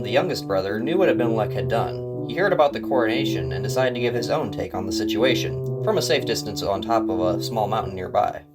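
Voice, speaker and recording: A man hosting and narrating a story in a dramatic, scripted style. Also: on the low side. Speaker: host and narrator.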